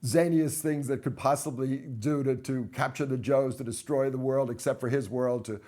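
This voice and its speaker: A man reminisces in a documentary interview. His voice is middling in pitch and his talk is casual. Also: fairly even intonation, normal speed, dark tone.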